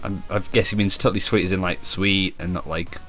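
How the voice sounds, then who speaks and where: A man's voice: deep voice, fairly even intonation, a little fast, fairly bright tone. A man hosting a gaming video with casual, informal, conversational commentary. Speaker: gaming channel host. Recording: music behind the voice. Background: faint background music.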